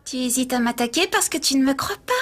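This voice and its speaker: A woman's voice: sits high, lively intonation, fast talker, background noise. A woman voicing a tiny teenage martial artist girl who talks with an old-fashioned, commanding manner, in a French anime dub. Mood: calm. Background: anime music score.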